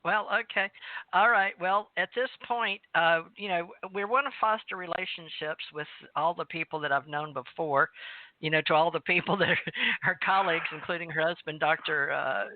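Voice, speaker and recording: A woman's voice: fairly low pitch, normal speed. A woman with a Southern accent hosting a call-in show, chatting in a rambling, talkative way and laughing often. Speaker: host. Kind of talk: casual chat on a call-in show. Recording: tinny phone line.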